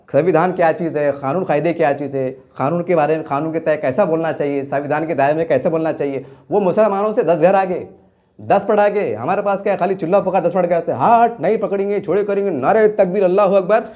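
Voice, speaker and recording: A man's voice: high voice, darkish tone. A man with a Deccani Urdu-Hindi accent from Maharashtra gives impassioned, off-the-cuff political commentary, leaning on rhetorical questions and emphatic repetition. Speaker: local news journalist and commentator.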